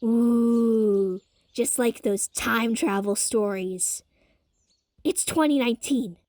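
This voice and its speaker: A woman's voice: fairly high pitch, slow pace, darkish tone, no echo, studio quality. A woman playing a casual, upbeat young teenage girl who teases bluntly in an audio drama. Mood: amused, surprised. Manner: blunt, slangy, sarcastic.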